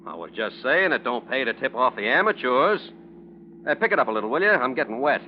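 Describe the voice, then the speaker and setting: An actor playing a talkative, bossy highway patrolman with a casual, slangy manner in a 1940s radio drama. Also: sing-song, a little fast, close to an everyday voice. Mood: breezy, probing. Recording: muffled, noisy 1940s radio recording.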